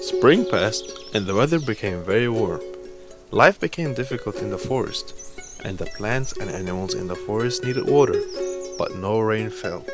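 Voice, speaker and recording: A man narrates a children's story from a script. His voice is fairly low, with music playing clearly behind him. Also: lively intonation, moderate pace. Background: music with birdsong.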